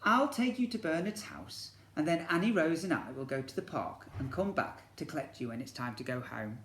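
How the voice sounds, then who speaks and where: A man voicing a kind, reassuring mother of a small boy in a storybook, gently coaxing. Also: on the high side, animated, normal speed. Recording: echoey room.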